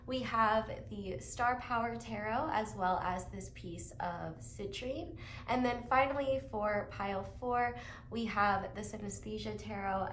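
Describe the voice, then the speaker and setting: A woman's voice: medium pitch, slight background noise. A young woman getting over a cold, giving a chatty, upbeat tarot reading with lots of fillers. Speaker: tarot reader. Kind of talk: casual pick-a-card tarot reading. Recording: echoey room with faint ambience.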